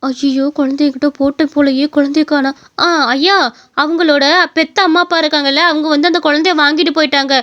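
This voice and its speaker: Casting: woman as selfish rich cartoon villainess, high rapid voice, brusque, glib. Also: fairly even intonation, fairly bright tone, highs cut off. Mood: irritated, evasive. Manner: brusque, assertive. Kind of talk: cartoon story dialogue.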